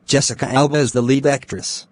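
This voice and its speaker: A man plays a courteous, easygoing young male celebrity in an educational cartoon. His pitch is average for a man. He delivers scripted lines deliberately, in a dry recording without any echo. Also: fairly even intonation, lightly acted. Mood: pleasant, courteous. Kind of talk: scripted English-learning dialogue.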